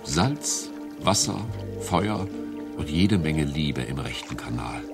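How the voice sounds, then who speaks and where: A man narrating a radio play in a wry, measured storytelling manner. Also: extremely low pitch, expressive, over loud music.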